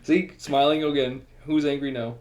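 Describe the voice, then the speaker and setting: A man's voice: on the high side, fairly even intonation, normal speed, a little mellow. A man co-hosting a podcast, chatting casually about films in a tongue-in-cheek, needling way. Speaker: podcast co-host. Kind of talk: casual joking film chat.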